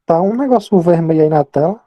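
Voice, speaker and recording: Fast-talking young Brazilian male student. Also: a little high, expressive, dry recording, lo-fi sound. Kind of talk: casual chat, explaining. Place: online video class.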